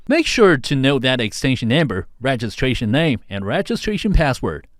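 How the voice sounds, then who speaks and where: A man's voice: medium pitch, no echo, clean studio sound. A man reading a scripted instructional narration as a tutorial narrator, guiding step by step.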